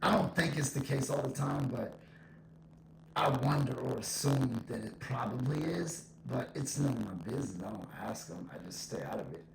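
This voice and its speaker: A man's voice: mid-range voice, moderate pace, gravelly. A man hosting a solo podcast, giving an informal, blunt and emphatic opinion monologue that repeats its points, with an echo effect on his voice. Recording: echo effect, faint background noise.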